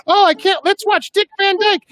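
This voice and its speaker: A man voicing a giddy, excited little girl with a squealing manner. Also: very high voice, moderate pace, no echo, studio quality, voice pushed up.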